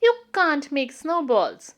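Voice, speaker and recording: A lively toy rabbit from a children's story , voiced by a woman. It speaks in a high, expressive voice, keen to play yet practical and matter-of-fact. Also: slow, heavily acted.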